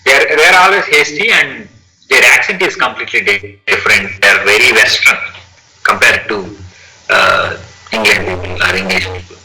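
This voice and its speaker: Low-voiced man, sharp and agreeable. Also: normal speed, rough recording. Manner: agreeable, backs up the other speaker. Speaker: conversation partner. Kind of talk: casual conversation. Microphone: video call.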